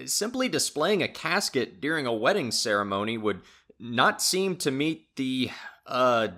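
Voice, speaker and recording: An actor playing a skeptical, measured legal official in an audio drama, formal and deliberating. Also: male voice, medium pitch, very animated, pushed and bright. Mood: skeptical, puzzled.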